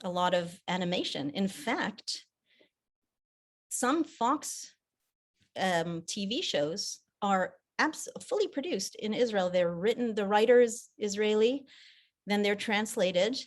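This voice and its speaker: A woman's voice: mid-range voice, lively intonation, takes it slowly. A woman whose first language is French, speaking English with an American-sounding accent, chatting in an interview about her voice-acting work with frequent restarts. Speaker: guest, voice actor and theater producer. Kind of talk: conversational interview.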